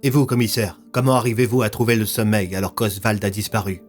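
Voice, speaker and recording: A man voicing a brooding, troubled investigator who speaks gravely and hesitantly. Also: lowish voice, steady tone, fast, soft background music, lightly acted. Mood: questioning. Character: brooding, troubled male investigator haunted by dark dreams.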